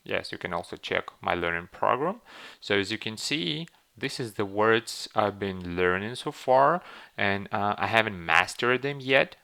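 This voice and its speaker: A man's voice: lowish voice, lively intonation, unhurried, gravelly, bright, forceful tone. A man from Ukraine with a Ukrainian accent walks viewers through a learning website, talking hesitantly with many fillers and restarts. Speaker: channel host. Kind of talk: screen-walkthrough tutorial.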